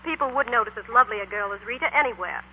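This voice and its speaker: Animated high-voiced woman in vintage radio advertising. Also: very fast, breathy edge. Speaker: radio commercial spokeswoman. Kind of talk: scripted 1940s radio advertising chat. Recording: muffled, noisy old radio broadcast.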